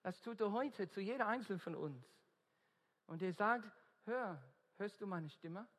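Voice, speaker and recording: An Australian man preaches with earnest emphasis, stumbling now and then over German as a second language. He talks slowly and deliberately. His voice rings out in a large hall with a long, trailing reverb. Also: sits high, animated, a bit bright. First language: English. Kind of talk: evangelistic sermon.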